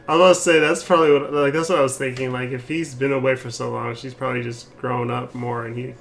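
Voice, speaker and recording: A man's voice: mid-range voice, steady tone, quick pace, gravelly, fairly bright tone. A man doing casual live gameplay commentary, offhand and muttering to himself in short bursts. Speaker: game streamer.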